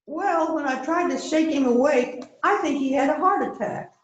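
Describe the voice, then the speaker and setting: High-pitched prim wife and hostess, acted by a woman, coolly polite murderess, amateur mystery play, heavy echo. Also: normal speed, slight background noise, natural voice. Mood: innocent. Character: polite, coolly unrepentant wife hosting a dinner party, a murderer. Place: indoors on a virtual event call. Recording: very echoey, dull video call audio.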